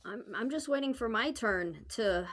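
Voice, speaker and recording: A woman's voice: a little high, animated, moderate pace, fairly bright tone. A woman in her forties chatting casually as a podcast panelist. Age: 40s. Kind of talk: casual chat.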